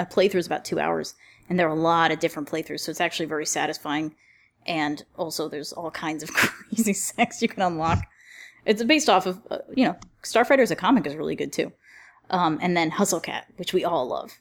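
A woman hosting a casual roundtable podcast, speaking in a chatty, encouraging way with clear enthusiasm. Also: deep voice, fast. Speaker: podcast host.

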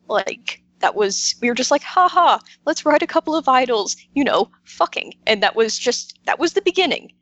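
A woman's voice: fairly high pitch, fairly bright tone, dry recording. A woman chatting casually as a podcast panelist, explaining things informally and often interrupting herself. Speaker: podcast roundtable panelist, visual novel maker. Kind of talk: casual chat.

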